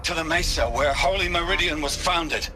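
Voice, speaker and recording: A man's voice: on the high side, normal speed, heavy background noise, close to an everyday voice. An actor playing a mad, pompous sun-king who speaks in grandiose, archaic phrases and rises to cries. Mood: fervent.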